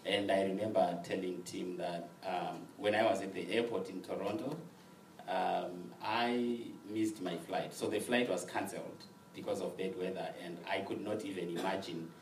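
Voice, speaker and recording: A young man from Zimbabwe, speaking softly and with measured pacing as a guest telling his story, often laughing. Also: sits low, a little hiss or hum. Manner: soft-spoken, measured, laughs easily. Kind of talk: conversational storytelling interview. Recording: roomy sound from a distant mic.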